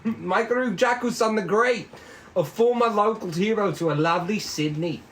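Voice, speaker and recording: Man playing a high-pitched gushing newswoman, noisy recording. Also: normal speed, fairly bright tone. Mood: upbeat, gushing. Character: chirpy newswoman gushing over a late pop legend. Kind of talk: amateur table read of a script.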